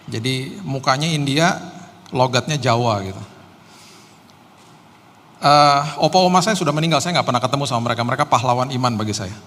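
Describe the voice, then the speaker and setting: A middle-aged Indonesian pastor giving a sermon with personal testimony, casual and joking, with many hesitant "eh"s. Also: male voice, mid-range voice, expressive, brisk, long pauses, a bit bright. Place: church hall. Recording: long hall reverb, faint ambience.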